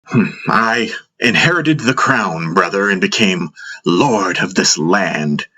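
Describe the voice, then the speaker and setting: Man voicing a sardonic, husky, world-weary king. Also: low voice, animated, sharp tone, heavily acted. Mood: sarcastic. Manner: sarcastic, halting, hesitant. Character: sardonic, world-weary male king confiding in his brother. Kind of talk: fantasy audiobook character acting.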